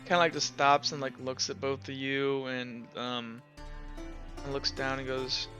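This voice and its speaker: A man's voice: medium pitch, flat delivery, unhurried, bright, forceful tone, soft background music. A man narrating a tabletop roleplaying game as game master in a casual, informal, improvised way.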